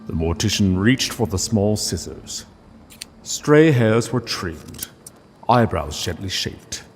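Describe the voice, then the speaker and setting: A man reading a literary story as narrator, solemn and deliberate, with dramatic pauses. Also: lowish voice, animated, big-room sound, a little hiss or hum.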